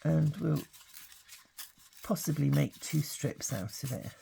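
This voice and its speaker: Croaky, gravelly older woman crafting. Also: sits low, slow pace, a little hiss or hum. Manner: chatty, relaxed, thinking aloud. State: croaky from hay fever. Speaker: craft channel host. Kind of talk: casual craft tutorial.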